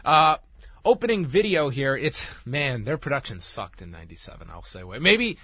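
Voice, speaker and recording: A man in his thirties talks through a wrestling event in a casual review. His tone is bright and dry, with no room around it. He runs through facts at a brisk clip while getting over a lost voice. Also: medium pitch, big pitch swings, slightly rough, no echo. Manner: brisk, rambling, lists facts. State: recovering from losing his voice. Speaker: podcast co-host reviewing wrestling.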